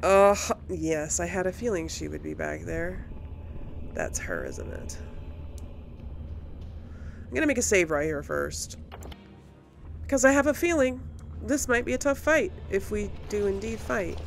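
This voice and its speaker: A woman's voice: mid-range voice, big pitch swings, unhurried, vocal fry, long pauses. A woman doing casual let's play commentary, chattily thinking aloud as she plays. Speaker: gaming video host. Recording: loud game noise behind voice. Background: fantasy video game sound.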